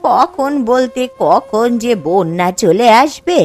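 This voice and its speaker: A woman's voice: a little high, very animated, slow, soft background music, full character voice. A woman voicing a worried old village mother in a cartoon, speaking in an anxious, mournful way.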